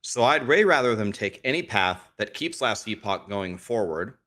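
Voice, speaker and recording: A man who is a guest on a podcast, talking casually and frankly while his voice is going hoarse. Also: lowish voice, lively intonation, normal speed, bright, forceful tone. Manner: frank, thinks aloud with restarts. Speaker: guest streamer.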